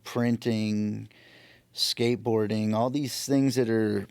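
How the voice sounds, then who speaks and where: A man with a lowish voice and plenty of vocal fry answers as a podcast guest. He talks casually and reflectively, in an interview chat recorded dry. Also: steady tone, slow pace, darkish tone, no room sound.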